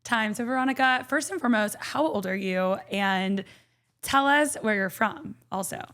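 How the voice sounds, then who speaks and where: A young woman hosting a podcast interview, chatty and lively with quick asides. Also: medium pitch. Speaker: podcast host.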